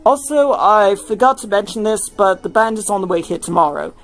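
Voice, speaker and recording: A woman's voice: medium pitch, lively intonation, a little fast, faint music behind, close to an everyday voice, low-quality recording. Woman reading as an easygoing young rocker boyfriend. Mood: casual. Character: easygoing, supportive young male rock star boyfriend. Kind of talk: fan fiction reading.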